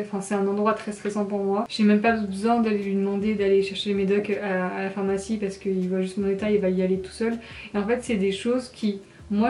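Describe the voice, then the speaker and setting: A young woman talks to camera in an informal, confiding way. She speaks quickly and with little rise or fall in pitch, sounding congested and nasal. The small bathroom adds a clear echo around her voice. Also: a little mellow. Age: 20s. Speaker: vlogger. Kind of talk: casual chat to camera. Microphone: camera at arm's length. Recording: echoey tiled bathroom.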